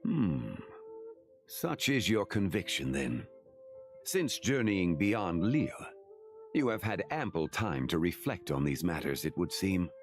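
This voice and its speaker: A man playing an ancient, composed sage in a video game, speaking formally and with measured gravity. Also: low-pitched, very animated, faint music behind, exaggerated voice.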